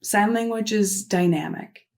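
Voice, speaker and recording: A woman voicing a signed conference keynote into spoken English, delivering it in short, measured phrases with pauses. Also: medium pitch, a little mellow. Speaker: sign language interpreter voicing a keynote. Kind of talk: simultaneous interpretation of a conference talk.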